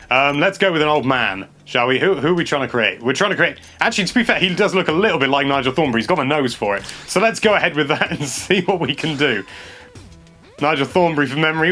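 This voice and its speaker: A young man hosting a casual gameplay commentary, chatty and excitable, stumbling over his words and laughing a lot. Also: medium pitch. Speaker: games journalist host. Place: small indoor room.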